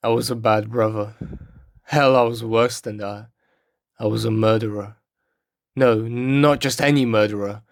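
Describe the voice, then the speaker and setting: Guy playing haunted sailor in a game cutscene, somber confession, lowish voice, barely any inflection. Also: fairly even intonation, measured pace, vocal fry, long pauses. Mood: remorseful, brooding. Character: guilt-ridden male sailor, haunted ex-killer, brooding. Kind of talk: scripted game cutscene monologue.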